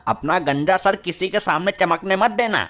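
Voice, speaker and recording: A man's voice: high voice, moderate pace, loud music behind the voice, lightly acted. A man voicing a hapless, bickering husband and father with exaggerated delivery in a comedy cartoon. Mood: teasing, irritated.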